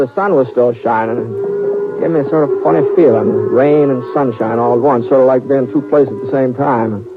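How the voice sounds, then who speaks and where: A man's voice: mid-range voice, lightly acted. An actor playing an easygoing male cowhand who narrates his own story in a drawling, folksy way. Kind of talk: 1950s radio drama narration and acting. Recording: tinny lo-fi old radio broadcast. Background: music.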